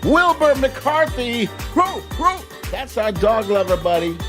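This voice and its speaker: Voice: medium pitch, sing-song, takes it slowly. Casting: American livestream host, informal and playful, taking their time through casual greetings, faint music bed. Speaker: host of a live online show. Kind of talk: casual livestream chat with shout-outs to viewers.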